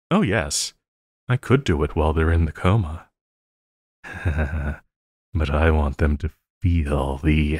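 A man playing an obsessive, lovesick supervillain in an intimate audio roleplay, halting and crooning, by turns sinister and pleading. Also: rumbling low, no echo, studio quality, exaggerated voice. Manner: halting, crooning, breaking into laughter.

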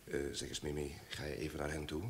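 An actor playing a courteous, guarded company boss with a polite formal manner in an old radio drama. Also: male voice, rumbling low, flat delivery, fast, creaky. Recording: noisy old radio play recording.

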